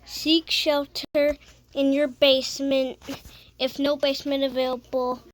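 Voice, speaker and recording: An amateur announcer with a high voice play-acting a TV weather emergency broadcast, speaking haltingly with stumbles and self-corrections. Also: little pitch movement.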